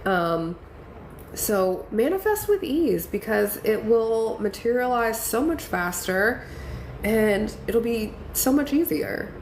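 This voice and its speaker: A congested woman hosting a casual live stream, reading viewer comments and encouraging them warmly. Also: a little high, sing-song, slow. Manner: encouraging, affirming, chatty with fillers. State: congested from a cold. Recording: echoey room with faint ambient noise.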